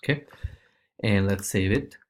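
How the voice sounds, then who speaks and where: Casting: male tutorial presenter, lowish voice, informal and loose, walking viewers through on-screen steps. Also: a little slow. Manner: informal, loose, repeats check-in tags like okay and right. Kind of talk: casual screencast walkthrough.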